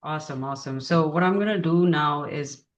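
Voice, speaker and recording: A woman's voice: low voice, brisk, dull audio. A woman in her forties hosting a podcast, introducing her guest admiringly with some fillers. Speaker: podcast host.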